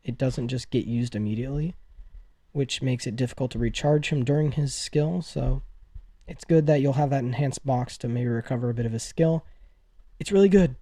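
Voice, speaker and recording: A man giving casual gaming commentary to viewers in an excitable, gushing manner, as the host of a news video. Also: medium pitch, moderate pace, dry recording, clean studio sound.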